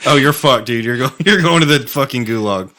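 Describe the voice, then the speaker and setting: A man's voice: mid-range voice, a little fast, pushed and bright. An American man co-hosting a podcast, riffing in casual, profane, jokey slang. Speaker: podcast co-host. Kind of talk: casual comedic banter.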